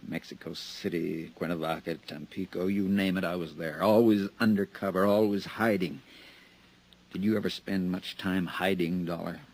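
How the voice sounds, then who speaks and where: An actor playing a nervous, hunted male embezzler in a 1950s radio drama, edgy and halting. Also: deep voice, fairly even intonation.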